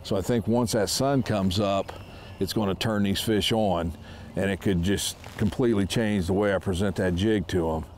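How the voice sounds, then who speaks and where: A man in his fifties with a lowish voice talks through his fishing technique in a relaxed, easygoing way. He is out on a lake in a small boat, recorded on a close camera mic, with a faint outdoor hush behind him. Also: moderate pace, a little hiss or hum. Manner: relaxed, explaining as he fishes. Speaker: fishing show host. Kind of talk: casual instructional talk while fishing.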